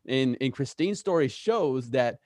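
An enthusiastic man hosts a podcast interview at a middle pitch. He talks with eager energy and often doubles words as he works toward a point. The sound is dry and close, with no room behind him. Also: measured pace, no room sound. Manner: enthusiastic, repeats words when excited. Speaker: podcast host.

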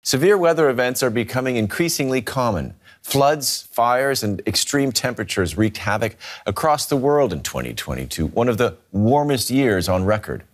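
A man's voice: mid-range voice, animated, moderate pace, hardly any pauses. A man presenting scripted news as an anchor.

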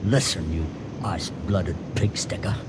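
An actor playing a menacing, hot-tempered young villain in a radio drama, speaking in sneering, forceful bursts. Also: male voice, deep voice, fairly even intonation, measured pace. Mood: threatening, agitated. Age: young adult. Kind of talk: 1980 radio drama acting. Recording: noisy old radio drama recording.

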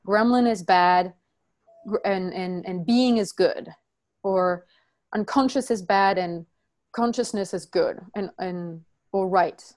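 Woman, from France, workshop facilitator, halting, frequent restarts, explaining slowly. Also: mid-range voice, darkish tone. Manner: halting, many restarts and self-corrections. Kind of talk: teaching a group, reading from notes.

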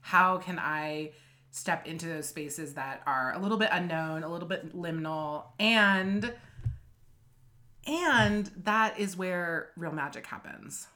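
A woman co-hosting a podcast in casual chat, playful and quick to laugh. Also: on the low side, sharp tone. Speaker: podcast co-host.

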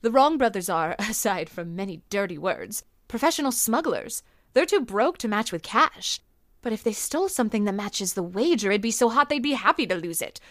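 Actress as sultry, teasing noir nightclub dame. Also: female voice, medium pitch, expressive, fairly quick, a bit bright, no echo, clean studio sound, natural voice. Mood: knowing, amused. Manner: flirtatious, sardonic, wordplay-heavy banter. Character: sultry, teasing nightclub singer and informant, sharp-tongued. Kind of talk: noir comedy audio drama acting.

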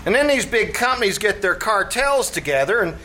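A man's voice: sits high, very animated, a little fast, sharp tone, heavily acted. A man playing a brash tavern manager in old-time radio comedy, lecturing pompously in streetwise slang. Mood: pompous, know-it-all. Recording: faintly noisy live theatre stage recording.

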